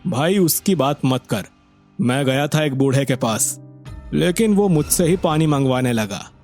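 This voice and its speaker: A man's voice: fairly high pitch, lively intonation, measured pace, a little mellow, soft background music. A man voicing an arrogant, impatient young villager, a selfish elder son, brusque and complaining in a moral story. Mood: irritated. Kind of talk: acted moral story dialogue.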